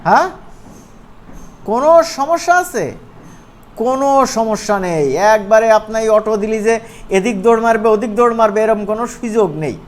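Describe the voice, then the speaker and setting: A man with a regional Bangladeshi Bengali accent giving an informal software tutorial, conversational and often checking that his students follow. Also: high-pitched, fairly quick, long pauses. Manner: conversational, often asks check-back questions. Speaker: animation course instructor. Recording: noisy room, clearly audible background noise.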